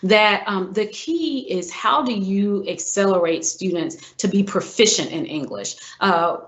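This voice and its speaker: A woman answering interview questions as a superintendent candidate, in a measured, earnest way, often pausing on "uh". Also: medium pitch, a bit bright. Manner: measured and earnest, many filler "uh"s. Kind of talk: formal job interview answers. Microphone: video call. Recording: dull lo-fi video call audio.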